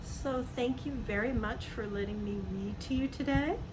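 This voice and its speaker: A woman's voice: fairly high pitch, moderate pace. A woman reading a picture book aloud to young children, adding chatty asides, simple explanations and questions to the listener. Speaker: storybook reader for young children. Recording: clearly noisy background.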